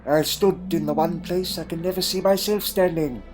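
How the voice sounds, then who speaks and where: Male actor as a troubled, proud Time Lord for audio drama; slightly high voice, old-fashioned wording, melancholy and guarded, over faint music. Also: fairly even intonation, moderate pace, a little mellow, natural voice. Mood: wistful, haunted.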